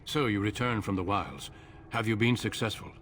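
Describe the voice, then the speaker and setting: A solemn, authoritative warden commander, voiced by a man, measuring every word. His voice sits low and steady. Faint ambient hiss lies under him. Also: normal speed, close to an everyday voice. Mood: stern. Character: grave older male warden commander.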